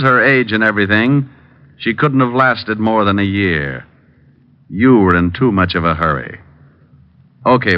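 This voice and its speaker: An actor playing a cool, wisecracking private detective with a dry, needling manner, in 1940s radio style. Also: male voice, on the low side, lightly acted. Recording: dull vintage radio recording, music behind.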